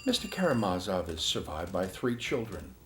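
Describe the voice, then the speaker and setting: An actor playing a formal, guarded male attorney giving a measured, carefully worded statement in a crime drama. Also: low-pitched, very animated, a bit bright, a little hiss or hum. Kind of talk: acted formal statement to investigator.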